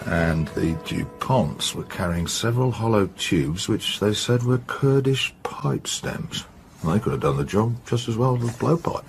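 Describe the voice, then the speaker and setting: An actor playing a blunt, jovial police detective in a radio drama, with an English accent and a breezy, teasing manner. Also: male voice, fairly low pitch, animated, a little slow, creaky, slight background noise. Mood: businesslike.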